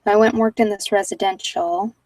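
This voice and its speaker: A woman's voice: mid-range voice, fairly even intonation, brisk, a little mellow, no echo. Rambling American woman, slightly distant mic. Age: middle-aged. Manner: rambling, candid, digressive storytelling. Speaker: vlogger chatting with live viewers. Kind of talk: casual chat.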